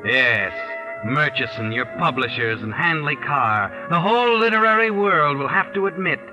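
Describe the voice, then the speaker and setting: A man's voice: on the high side, animated, normal speed, lo-fi sound, close to an everyday voice. An actor playing an all-knowing, sardonic narrator in 1940s radio drama, insinuating and mocking as he addresses the culprit. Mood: gloating. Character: sardonic, omniscient male mystery narrator taunting the guilty man. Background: dramatic music.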